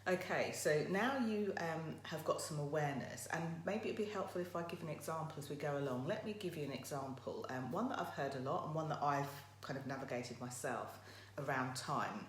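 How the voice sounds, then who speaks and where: A woman with a croaky voice hosting a casual live motivational talk, chatting directly to her viewers. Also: low-pitched, fairly quick. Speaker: motivational livestream host. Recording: roomy echo, faint background noise.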